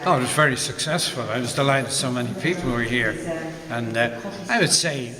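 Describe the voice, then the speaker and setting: An Irish man giving casual, anecdotal interview answers, halting now and then and repeating his phrases. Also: mid-range voice, long reverb tail. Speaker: radio drama writer. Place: packed arts centre at a launch event. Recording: loud crowd noise behind. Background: loud crowd.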